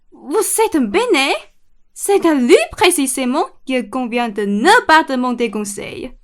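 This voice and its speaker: Capricious rich old dowager played by a woman, high and slow-paced, imperious, theatrical radio comedy. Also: full character voice. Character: bossy, capricious, bored rich old lady. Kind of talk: stage-comedy radio play acting in French.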